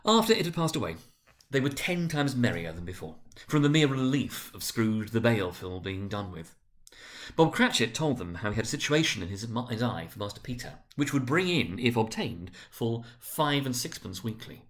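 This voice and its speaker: A man narrating a story as a dramatic reading, theatrical and expressive. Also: mid-range voice, moderate pace.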